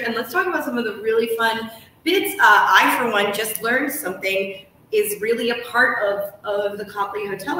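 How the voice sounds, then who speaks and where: A woman's voice: mid-range voice, sharp tone. A woman in her thirties hosting a casual live interview, chatty, rephrasing as she goes. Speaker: interview host. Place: large hotel room, indoors. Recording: very echoey room, distant mic, faint noise.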